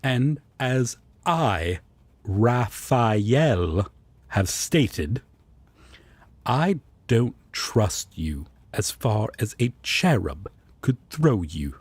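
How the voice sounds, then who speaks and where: A man acts out a devoted, prim angel roommate in an audio drama. His husky, lowish voice is formal and polite, measured and slow, turning haughty and indignant easily with a rival. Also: very animated, dry recording, full character voice. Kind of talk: audio roleplay acting.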